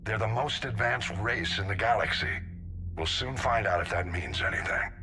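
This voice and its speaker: An actor playing a gruff, seasoned older navy admiral in a video game, blunt and authoritative. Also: male voice, fairly low pitch, moderate pace, sharp tone, slight background noise. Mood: grim, frank. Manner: blunt, authoritative military briefing delivery. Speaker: military admiral. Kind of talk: scripted video game acting.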